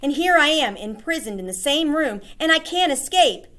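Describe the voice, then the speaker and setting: A panicked young boy begging for mercy in his thoughts, as a woman reads him. His voice climbs high and rises in pitch with fear. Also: expressive, moderate pace, a bit bright. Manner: frightened, pleading.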